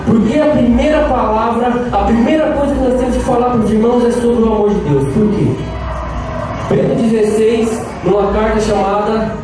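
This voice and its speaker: High-voiced Brazilian preacher in echoey hall. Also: male voice, moderate pace. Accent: Brazilian Portuguese. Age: 30s. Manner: lively, playful, calls out to the crowd. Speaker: lay preacher. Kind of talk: live charismatic sermon. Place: large echoey prayer-group hall, live event. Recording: very echoey hall, distant mic, music behind.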